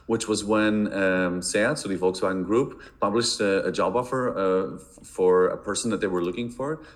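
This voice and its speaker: Hesitant low-voiced man from Belgium. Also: moderate pace. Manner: hesitant, many repeated words and fillers. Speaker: podcast guest, marketing director. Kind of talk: casual interview answers.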